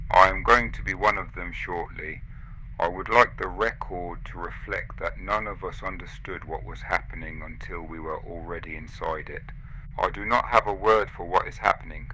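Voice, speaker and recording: Man acting a deep, doomed station officer. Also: steady tone, sharp tone, slight background noise, poor audio. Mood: grave resignation. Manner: grave, measured, clipped sentences. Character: dying station officer, resigned, recording final log entries. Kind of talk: sci-fi audio drama log recording.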